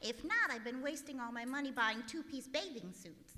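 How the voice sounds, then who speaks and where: High, bright, scatterbrained young radio lady. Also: animated, moderate pace. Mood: innocent, chirpy. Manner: innocent, literal-minded delivery. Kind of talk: old-time radio comedy acting. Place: on stage in a museum hall before an audience. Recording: echoey hall, live stage performance.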